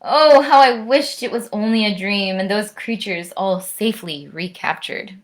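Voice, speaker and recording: A woman's voice: mid-range voice, a little slow, background noise. American woman doing storytime for kids, reads and chats expressively, mid-range voice. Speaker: orchestral musician, guest storytime reader. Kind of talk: children's storytime, reading aloud and chatting to young viewers.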